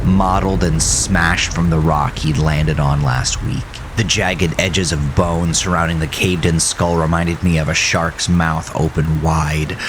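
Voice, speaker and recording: Very deep, gravelly man narrating horror. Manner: deliberate, suspenseful delivery. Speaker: narrator of a horror audio drama. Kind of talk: first-person dramatic horror story narration. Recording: rain and storm noise behind voice. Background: rain, storm ambience.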